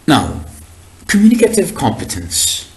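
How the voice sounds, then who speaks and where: A man whose first language is Arabic, giving a recorded lecture in a deliberate, explanatory way with frequent hesitations and restarts. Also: fairly high pitch, huge pitch swings, a little mellow.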